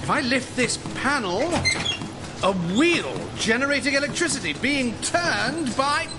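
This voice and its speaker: An earnest, easily distracted sidekick in a radio comedy. His voice sits high for a man and is theatrical, over a heavily noisy recording. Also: lively intonation, a little slow. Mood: alarmed. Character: Anton, earnest, easily distracted middle-class male sidekick. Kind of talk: radio sitcom acting.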